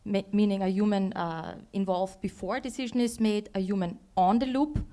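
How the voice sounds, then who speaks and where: A woman's voice: mid-range voice, a little fast, warm tone. A woman from Austria, speaking as a panelist on a conference stage, thoughtful and correcting herself as she searches for words.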